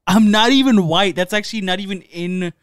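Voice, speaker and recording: A young man streaming casual chat, teasing his friend with jokes and loud swings in volume. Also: high-pitched, fairly quick, clear, resonant tone, no room sound.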